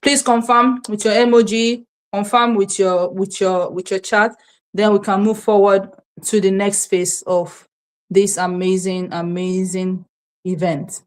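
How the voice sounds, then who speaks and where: A woman's voice: medium pitch, little pitch movement, measured pace. A Nigerian woman whose first language is Yoruba, hosting a motivational livestream, repeating key words and asking her audience rhetorical questions. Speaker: livestream host and self-help coach. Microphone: streaming setup, talking to camera.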